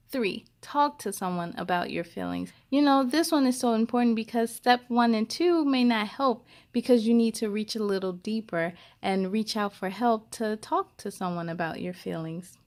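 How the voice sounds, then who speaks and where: A woman's voice: on the high side, moderate pace, a little mellow. A woman hosting a children's story time, reading aloud expressively with chatty asides and speaking encouragingly straight to young listeners.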